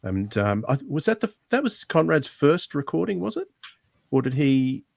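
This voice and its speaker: A man's voice: a little high, sing-song, moderate pace, gravelly, no room sound, clean studio sound. A man co-hosting a podcast, talking casually and putting questions to a guest. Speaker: podcast co-host. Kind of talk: casual podcast interview chat.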